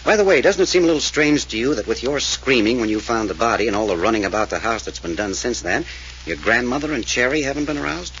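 A man playing a wry, brisk radio detective. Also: mid-range voice, fairly bright tone, close to an everyday voice. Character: brisk wisecracking male amateur detective. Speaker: detective figure. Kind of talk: 1940s radio acting. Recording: dull old radio recording with faint hiss.

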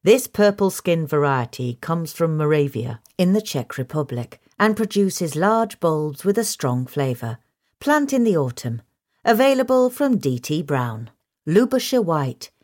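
A woman's voice: low voice, very animated, a little slow, darkish tone. A woman reading a gardening article aloud as scripted narration. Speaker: article reader.

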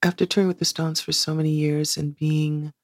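A woman's voice: sits low, level tone, a little fast, no room sound, studio quality. A woman in her sixties, a guest in an interview, talking reflectively and searching for words with many "you know"s. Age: 60s. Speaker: guest, singer.

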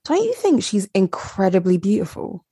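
A woman's voice: mid-range voice, big pitch swings, normal speed, dark tone, breathy edge, no room sound, clean studio sound. A young woman co-hosting a podcast in casual chat, rambling with lots of fillers. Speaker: podcast co-host.

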